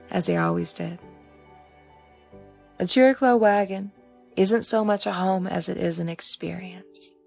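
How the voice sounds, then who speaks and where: A woman reading her own fantasy story aloud as expressive audiobook narration. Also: fairly low pitch, creaky.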